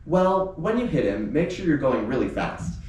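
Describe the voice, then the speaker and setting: A man's voice: a little high, sing-song, normal speed, darkish tone, pitched above the performer's own voice. A man voicing a smiling, chirpy murderous wife in a thriller read aloud, casual about killing. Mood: calmly eager. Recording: roomy, echoing live reading, distant mic, faint noise.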